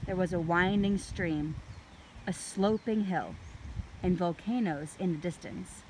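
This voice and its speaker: A woman reading a children's book aloud in an expressive, deliberate storytelling manner. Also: on the low side, a little hiss or hum, rough recording.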